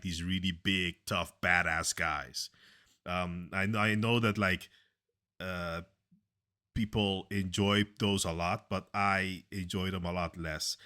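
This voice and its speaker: Man, deep voice, very low pitch, loud, opinionated, halting with fillers, casual podcast chat. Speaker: podcast co-host. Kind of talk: casual conversation.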